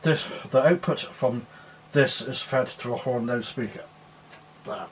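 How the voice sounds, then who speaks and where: A man presenting a technical demonstration, explaining in a measured, plain way. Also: mid-range voice, a little hiss or hum, poor audio. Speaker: presenter demonstrating an antique radio.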